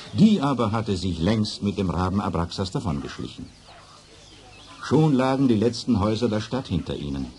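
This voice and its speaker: A male storyteller narrates an old children's radio play. His voice is fairly low and moves expressively up and down, with faint noise on the recording. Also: a little mellow.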